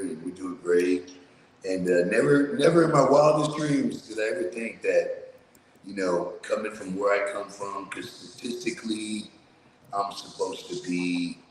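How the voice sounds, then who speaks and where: A man with a low voice telling his story in a casual, streetwise, blunt way, swearing freely, as an interview guest. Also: very echoey, long reverb tail, a little hiss or hum, poor audio. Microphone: remote video call.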